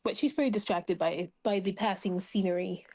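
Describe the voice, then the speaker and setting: A woman's voice: mid-range voice, fairly quick, darkish tone, no echo. A woman chatting casually and describing her character as a player in a tabletop game. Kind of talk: casual chat and roleplay narration.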